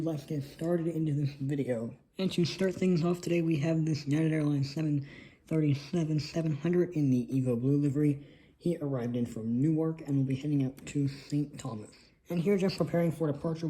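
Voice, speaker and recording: A man's voice: mid-range voice, mellow, low-quality recording. A young man, a little congested from allergies, narrating his model airport in a rambling, casual way, recorded on a phone. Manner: rambling, lots of ums. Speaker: model airport hobbyist host. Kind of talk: casual hobby video narration. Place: indoors at a model airport setup. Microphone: phone on a tripod.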